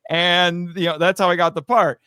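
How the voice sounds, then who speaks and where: Guy guest on a podcast telling stories, kinda higher voice, dry sound, casual and amused w himself. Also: level tone, moderate pace, pushed and bright, clear, resonant tone, no echo. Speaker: podcast guest, amateur voice actor. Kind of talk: casual interview storytelling.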